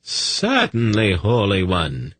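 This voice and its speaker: A man's voice: on the low side, huge pitch swings, slow pace, a bit bright, breathy edge, no room sound, voice pushed up, full character voice. A man voicing a nervous, apologetic, fussy pet owner in an audiobook story, pleading and coaxing.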